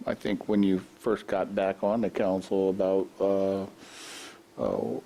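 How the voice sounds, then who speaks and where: A man with a somewhat low voice speaks casually at a council meeting. He rambles and hesitates often, circling back to finish his thoughts. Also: lively intonation, slow, gravelly, warm tone, slight background noise. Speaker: council member. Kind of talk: informal meeting remarks. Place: council chamber.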